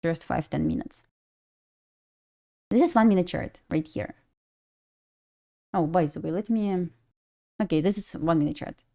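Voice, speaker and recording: A woman's voice: on the low side, normal speed, vocal fry, long pauses, dark tone. A woman from Belarus with a Russian accent, casually commenting on a live trading stream, thinking aloud and answering chat. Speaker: day-trading livestream host.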